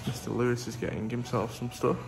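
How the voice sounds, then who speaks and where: A man's voice: medium pitch, little pitch movement, brisk, creaky. A young man chatting casually to a handheld phone camera outdoors, giving a running commentary on a camping road trip with lots of fillers. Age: young adult. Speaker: vlogger. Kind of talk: casual travel vlog. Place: outdoors on a windy coast and campsite. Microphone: phone held at arm's length. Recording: loud wind buffeting the mic. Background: loud wind noise.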